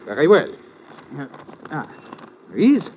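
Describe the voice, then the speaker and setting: An actor playing a formal, excitable French police inspector with a French accent, speaking precisely and theatrically in radio drama style. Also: male voice, fairly high pitch, takes it slowly, dull audio, full character voice. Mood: stern, formal. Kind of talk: 1950s radio drama acting. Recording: noisy old radio recording.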